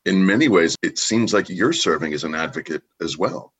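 A man's voice: low voice, fairly quick, a bit bright, slightly rough. A man interviewing in a conversational style, asking questions and sharing his own experience. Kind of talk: conversational interviewing with personal anecdotes.

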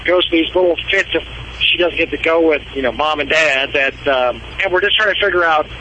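A man's voice: on the high side, fairly quick, sharp tone, lo-fi sound, a little hiss or hum. A man calling in to a radio show, telling a rambling, casual story about his dog. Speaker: caller. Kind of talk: phone-in question.

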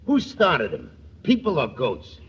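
A man's voice: mid-range voice, huge pitch swings, a little slow, background noise. An actor playing a dopey, folksy young male sidekick in a 1940s radio comedy. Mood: goofy. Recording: dull old radio recording.

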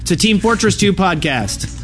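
A man's voice: high-pitched, very animated, moderate pace, soft background music. A man co-hosting a casual gaming podcast, talking informally with the others. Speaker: podcast co-host. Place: live on an open convention floor. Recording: busy convention floor noise. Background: convention ambience and loudspeaker.

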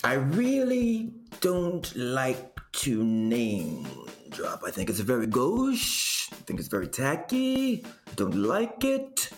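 Man playing a slow, swooping, haughty theatre uncle. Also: mid-range voice, huge pitch swings, faint music behind. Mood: disdainful. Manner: grandiose, snobbish, dramatic drawl. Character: affected, haughty, gossipy grand old theatre uncle. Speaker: interview guest, acting teacher. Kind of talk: theatrical storytelling in an interview.

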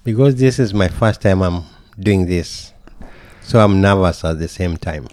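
A man from Kenya, a podcast guest who chats in a slow, deliberate way with deadpan humor. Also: low-pitched, no room sound. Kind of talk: casual interview.

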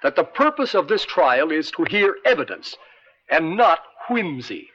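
An actor playing an insistent district attorney in an old radio drama, questioning in a deliberate, theatrical way. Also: male voice, a little high, very animated, a bit bright, breathy edge, heavily acted. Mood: firm, formal. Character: probing male district attorney, insistent and pointed. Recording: dull lo-fi vintage radio.

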